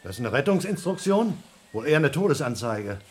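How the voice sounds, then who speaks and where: A man's voice: mid-range voice, very animated, a little fast, faint music behind, close to an everyday voice. An actor playing a desperate, sarcastic man stuck on a failing station in a radio play, ordering the computer about.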